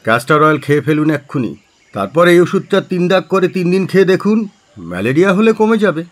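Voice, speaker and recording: A man's voice: high-pitched, big pitch swings, a little fast. A man playing an elderly, kindly, anxious village doctor who fusses and grumbles good-naturedly in a Bengali audio drama. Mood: fussy instructing.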